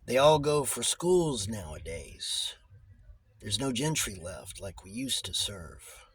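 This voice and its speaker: A man voicing a mild, thoughtful little old country woman in an audiobook, gentle and plaintive. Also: on the low side, big pitch swings, takes it slowly, breathy edge, exaggerated voice. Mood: mild, wistful. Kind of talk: audiobook character voice.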